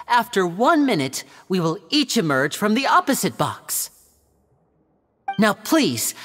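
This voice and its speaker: A woman's voice: mid-range voice, fairly bright tone, big-room sound. A woman voicing a suave young male stage magician in a video game, addressing an audience with theatrical, polished and courteous patter. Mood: showy.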